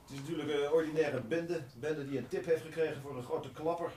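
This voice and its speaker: A man's voice: a little high, fairly even intonation, fairly quick, a little mellow, lightly acted. A man playing an earnest younger police detective, the chatty, plain-spoken sidekick in a radio drama. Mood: matter-of-fact. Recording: echoey room, distant mic, clear noise.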